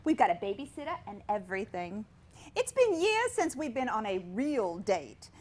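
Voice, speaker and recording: A woman acting as an excitable, chatty housewife in a stage comedy. Her voice is high and swoops with expressive emphasis. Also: normal speed. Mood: gushing, excited. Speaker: stage actress. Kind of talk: staged reading of a period comedy play.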